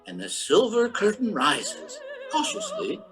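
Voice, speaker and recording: A man's voice: very high-pitched, huge pitch swings, over music, full character voice. Man playing a wildly swooping, hammy radio announcer. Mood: grandiose, suspenseful. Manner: grandiloquent, drawn-out alliteration. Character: grandiose male old-time radio announcer, hammy and melodramatic. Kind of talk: theatrical old-time radio narration.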